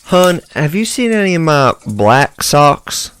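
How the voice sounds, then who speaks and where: A man's voice: a little high, very animated, takes it slowly, fairly bright tone, clear, resonant tone, dry recording, heavily acted. An actor playing a prim, condescending male pastor in a radio drama.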